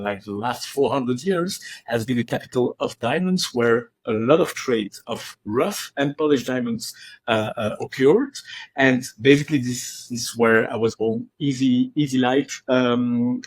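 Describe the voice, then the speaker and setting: A man's voice: medium pitch, dry recording, rough recording. A man from Belgium with a French accent, speaking as a podcast guest in a casual, hesitant way with many fillers. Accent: French-accented English. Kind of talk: casual interview.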